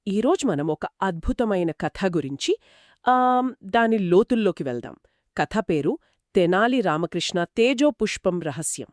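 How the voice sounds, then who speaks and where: A woman's voice: medium pitch, lively intonation, unhurried, dry recording, studio quality. A woman co-hosting a conversational discussion of a story, explaining it as a storyteller would. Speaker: podcast co-host.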